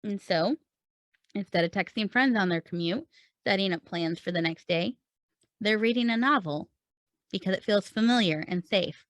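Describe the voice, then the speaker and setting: A woman's voice: medium pitch, normal speed, a bit bright, no echo. A woman in her thirties hosting a podcast, giving earnest, encouraging advice to writers and asking many rhetorical questions. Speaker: writing coach and podcast host. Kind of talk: solo teaching talk to camera.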